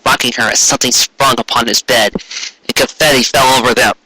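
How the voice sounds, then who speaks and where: A man reading a story aloud in an expressive, theatrical way, lingering over lists of words. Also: medium pitch, a little fast, husky, no echo, rough recording. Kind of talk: expressive scripted narration with occasional asides.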